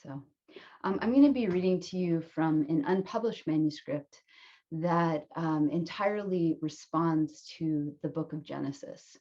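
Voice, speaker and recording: A woman's voice: low-pitched, measured pace, warm tone, muffled recording. A woman poet speaking informally and earnestly, gracious in tone, as she introduces her reading as a guest.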